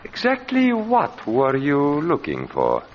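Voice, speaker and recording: An actor playing a menacing, unsightly puppeteer's assistant in a 1940s radio thriller, with sly, formal phrasing. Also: male voice, on the high side, huge pitch swings, slow, exaggerated voice. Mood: quietly threatening. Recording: dull, noisy old radio recording.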